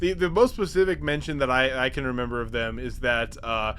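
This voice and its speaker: A man hosting a podcast, giving a casual, comedic episode recap with halting, repetitive, sweary phrasing. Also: medium pitch, steady tone, a bit bright, a little hiss or hum. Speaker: podcast host.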